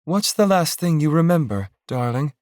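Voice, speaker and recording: A calm, caring village mother whose voice rides noticeably higher than the narration around her, as a male narrator puts her on. She talks in an earnest, soothing way, pleading softly when pressed. The sound is close and dry. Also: normal speed, dry recording, natural voice.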